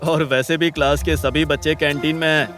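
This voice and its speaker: A man's voice: fairly high pitch, little pitch movement, a little fast, fairly bright tone, soft background music, natural voice. A man voicing a sneering, gleeful young bully's sidekick in an audio story, crude in manner. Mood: jeering. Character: jeering young male college bully sidekick.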